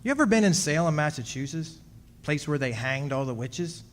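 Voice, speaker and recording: A man's voice: fairly high pitch, lively intonation, moderate pace, lightly acted. An actor playing an uneasy immortal man, telling his story in a confiding, conversational way in a radio drama revival. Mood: confiding, grim. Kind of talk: stage revival of 1940s radio drama narration.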